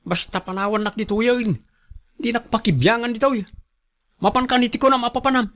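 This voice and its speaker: A man playing a stubborn, excitable young son in a radio drama, with a whining, eager way of arguing. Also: very high-pitched, a little fast. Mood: excited, impatient. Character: stubborn, excitable grown son dreaming of riches. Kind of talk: Ilocano radio drama acting.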